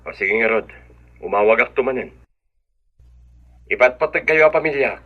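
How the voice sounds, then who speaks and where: A man's voice: mid-range voice, expressive, moderate pace, muffled recording. An actor playing a caring husband and father in an Ilocano radio drama, affectionate and earnest.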